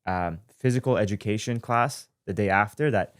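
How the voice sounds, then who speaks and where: A man in his twenties from Iran, telling his life story in a relaxed, reflective way as host of his own show, often pausing and correcting himself. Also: fairly low pitch, no room sound, studio quality. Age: 20s. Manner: reflective, with frequent fillers and self-corrections. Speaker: podcast host. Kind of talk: casual personal storytelling. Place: studio.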